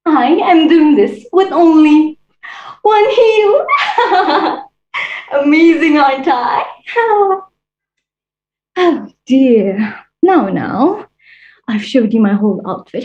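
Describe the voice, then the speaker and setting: A woman's voice: high voice, a little mellow, heavily acted. A woman playing a vain, flamboyant female fashion-designer villain, with a theatrical, mocking drawl full of "darlings". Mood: showing off. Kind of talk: stage monologue in character. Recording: dull lo-fi recording.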